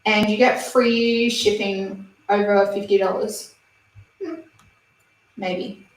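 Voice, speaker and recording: A woman's voice: medium pitch, steady tone, slow pace. A woman, chatting casually on a beauty livestream and reading viewer comments aloud with giggles. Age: 20s. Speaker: beauty channel host. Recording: roomy, slightly distant mic.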